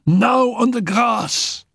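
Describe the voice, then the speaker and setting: A man's voice: creaky, slightly rough, no room sound, studio quality, full character voice. A man voicing a frail, feverish young woman who is dying but defiant, coughing and laughing breathlessly as she shrieks. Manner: coughing fits, breathless laughter, shrill outbursts.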